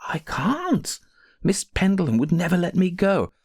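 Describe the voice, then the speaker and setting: Storybook role: downtrodden, fearful woman performed by a man, halting and meek, creaky, recorded dry. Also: low-pitched, expressive, darkish tone, no room sound, heavily acted. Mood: tearful despair. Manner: hesitant, stammering, meek. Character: frightened, downtrodden, tearful lady's companion. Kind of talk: audiobook character voice.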